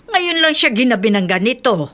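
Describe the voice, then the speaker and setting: Fretful, loving mother of grown children, Filipino, lively highish voice, radio drama. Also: normal speed, a little hiss or hum. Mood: worried. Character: anxious, loving middle-aged or older mother.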